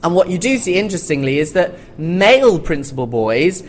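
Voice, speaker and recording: A man's voice: a little high, big pitch swings, brisk. A man speaking as a panellist in an informal but informed discussion, explaining things articulately. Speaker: panellist, theatre commentator. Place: theatre, live panel discussion. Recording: live recording with background room noise.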